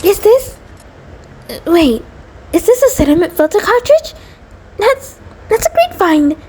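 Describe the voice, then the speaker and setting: A woman's voice: very high-pitched, very animated, unhurried, darkish tone, slight background noise, heavily acted. A woman playing an anxious, affectionate female centipede monster, nervous, stammering and apologetic, in an intimate roleplay audio drama. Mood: pleased, focused.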